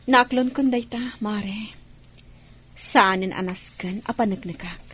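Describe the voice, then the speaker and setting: An actress with an Ilocano accent playing a resigned, downcast wronged wife in a radio drama. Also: female voice, medium pitch, slow, fairly bright tone, slightly rough.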